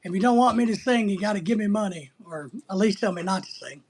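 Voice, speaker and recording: A livestream host from the United States with a husky voice, chatting casually and bluntly while teasing viewers and rambling through answers to their comments. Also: mid-range voice, quick pace, a little hiss or hum. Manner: blunt, teasing, sometimes breaking into playful exclamations.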